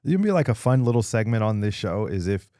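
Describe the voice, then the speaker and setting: A man co-hosting a podcast in casual chat, animated and jokey, often repeating himself. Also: fairly low pitch, fairly quick, dry recording, studio quality. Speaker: podcast co-host.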